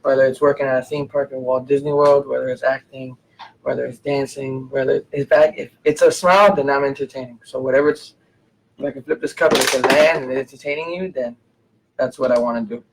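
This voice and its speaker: Fast-talking eager man, noisy background. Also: mid-range voice, fairly even intonation. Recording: clear background noise.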